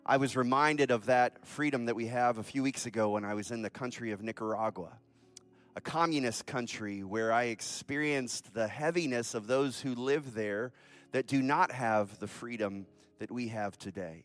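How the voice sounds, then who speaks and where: A man's voice: medium pitch, normal speed, faint music behind. Earnest man praying from the pulpit. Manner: earnest, solemn prayerful delivery. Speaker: pastor. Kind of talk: church announcement and prayer.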